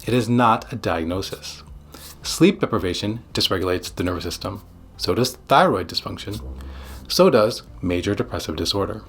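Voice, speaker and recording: A man giving scripted educational narration as a psychiatrist, speaking in short, deliberate, emphatic phrases. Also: fairly low pitch, lively intonation, fairly bright tone, slightly rough. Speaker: psychiatrist presenting an explainer video.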